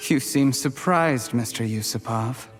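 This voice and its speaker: A man's voice: mid-range voice, measured pace, long reverb tail, soft background music, natural voice. A man playing a formal, controlled commoner with bitter hidden ambition in a video game. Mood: cold, defiant.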